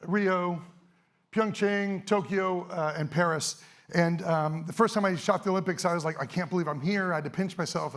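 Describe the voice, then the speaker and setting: A middle-aged man, a little hoarse, giving a fast, energetic, casual talk to a live audience on an event stage. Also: fairly high pitch. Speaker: event speaker, sports photographer.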